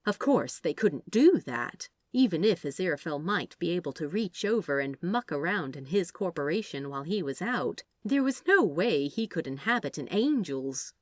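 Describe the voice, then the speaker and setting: A woman with a slightly low voice narrates fiction expressively. Her intonation moves a lot, and the recording is close and dry, with very little room sound. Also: sing-song, moderate pace, hardly any pauses, no echo. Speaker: audiobook narrator of fan fiction. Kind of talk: expressive scripted story narration.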